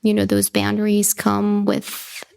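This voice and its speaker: A woman's voice: medium pitch, monotone, unhurried, creaky, a little mellow, smooth. A woman in her twenties from Bolivia, a native Russian speaker, talking reflectively as an interview guest and leaning on "like" and "you know". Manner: reflective, heavy use of "like" and "you know", laughs lightly. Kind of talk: casual conversational interview.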